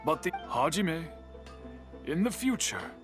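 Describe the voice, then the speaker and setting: A patient, elderly master smith from a fantasy game. His speech is formal and grandfatherly, a little high for a man, with varied intonation and music underneath. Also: wildly swooping pitch, a little slow. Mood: calm, reflective. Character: stern, patient elderly master swordsmith, quietly kind. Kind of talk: English game voice acting.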